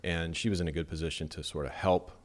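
Interview guest: deep-voiced middle-aged architect from Las Vegas, measured and thoughtful, lots of ums. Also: male voice, steady tone. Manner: measured, thoughtful, with many fillers. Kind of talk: relaxed conversational interview.

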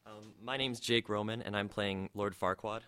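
A man's voice: lowish voice, fairly even intonation, normal speed, pushed and bright, clear, resonant tone. Thoughtful teenage boy. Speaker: student actor. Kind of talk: interview answers.

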